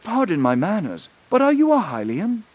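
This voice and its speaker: A man playing a proud fish-folk prince in a video game, formal and theatrical, courteous even when suspicious. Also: high voice, normal speed, heavily acted. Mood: surprised, courteous.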